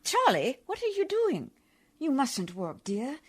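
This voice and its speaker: Female role, acted: genteel, anxious, devoted lady traveller, tender and proper, highish husky voice, radio drama. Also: huge pitch swings, measured pace, dry recording, exaggerated voice. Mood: dazed, wondering. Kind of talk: radio play acting.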